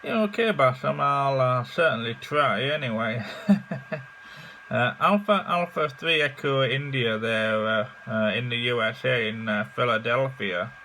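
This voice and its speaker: A man chats casually on amateur radio in a hesitant, halting way. His mid-range voice has a bright edge, with a faint hum behind it. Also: mid-range voice, low-quality recording. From England. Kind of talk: casual ham radio chat. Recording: faint noise and electrical hum.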